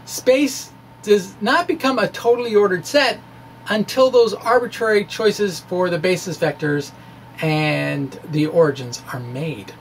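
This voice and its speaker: A man giving a dramatic reading of a science essay with ad-libbed asides, in a halting, ruminative manner. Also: high voice, a little hiss or hum, low-quality recording. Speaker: science blogger and presenter.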